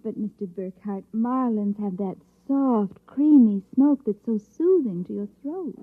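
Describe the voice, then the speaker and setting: Breathy, coaxing secretary on old radio. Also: female voice, a little high, animated, a little slow. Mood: eager, polite. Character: solicitous young secretary coaxing her boss with soft devotion. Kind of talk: old-time radio drama acting. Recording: thin, narrow-band vintage radio recording.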